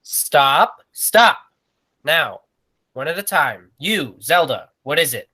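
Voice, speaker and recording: Man as a bright, exasperated circus boss. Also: fairly high pitch, big pitch swings, slow, slightly rough, exaggerated voice. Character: exasperated male circus manager. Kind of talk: 1940s radio acting.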